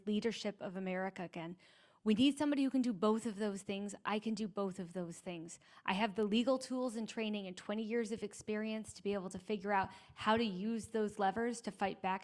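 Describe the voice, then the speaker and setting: A woman congressional candidate giving a brisk, emphatic closing statement at a forum. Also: mid-range voice.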